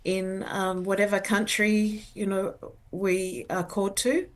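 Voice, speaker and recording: An older woman from New Zealand, an educator and researcher, answering interview questions in a halting, earnest way. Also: medium pitch, flat delivery. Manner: halting, many fillers and restarts, earnest.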